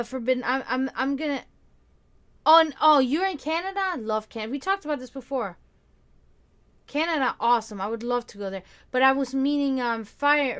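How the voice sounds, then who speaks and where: A woman's voice: sits high, a bit bright, clear, resonant tone. A tired woman with a Serbian accent, chatting casually with viewers on a livestream, rambling and restarting her sentences. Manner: rambling, halting, with many restarts and fillers. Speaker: livestream host. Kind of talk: casual chat with stream viewers.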